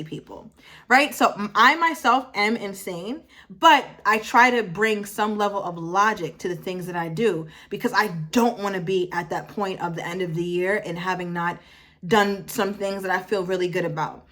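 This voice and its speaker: Young woman, twenties, motivational vlogger, mid-pitched voice, emphatic, repeats phrases for punch, corrects herself mid-sentence. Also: normal speed, fairly bright tone. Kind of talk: casual motivational talk to camera.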